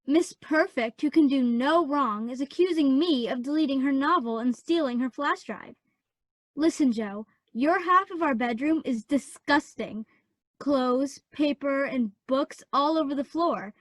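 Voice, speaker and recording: A woman's voice: high voice, a little slow, no echo. A woman playing a spiteful, bratty teenage girl in a radio play, speaking in a snippy, scornful way. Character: Amy, spiteful bratty teenage younger sister.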